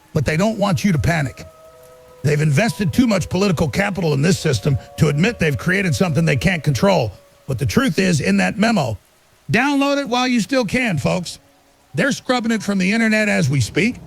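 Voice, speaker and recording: Man acting high-pitched, gravelly conspiracy radio host. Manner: urgent, insistent, addresses listeners as folks. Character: alarmist male conspiracy radio host exposing a cover-up. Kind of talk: dramatic conspiracy talk radio monologue.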